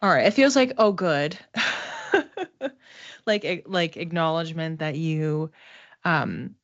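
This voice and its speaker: A woman with a low voice gives an intuitive reading as a psychic medium. She speaks off the cuff, feeling her way haltingly from one phrase to the next. The sound is a little dull and roomy. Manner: tentative, halting, searching for words. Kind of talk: intuitive reading, spoken off the cuff to a live chat.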